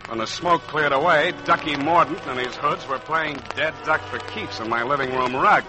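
An actor playing a wry, cool, hard-boiled private detective with slangy delivery, in 1940s radio drama style. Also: male voice, on the high side, normal speed, over music, close to an everyday voice, poor audio. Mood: wry narration. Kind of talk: 1940s radio acting, noir narration.

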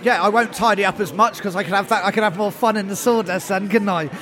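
Male vox pop, British accent, high pitch, enthusiastic, busy crowd behind. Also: steady tone, fast, a bit bright. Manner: enthusiastic, jokey. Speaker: audience member, carpenter. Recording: noisy crowd around the voice. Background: crowd chatter.

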